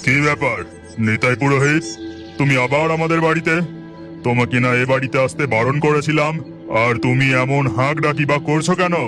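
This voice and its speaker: A man's voice: mid-range voice, steady tone, brisk, music in the background, close to an everyday voice. Arrogant zamindar heir for a cartoon, played by a man, mid-range voice, commanding and stern. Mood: irritated. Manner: haughty, commanding. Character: imperious, quick-tempered landlord's eldest son.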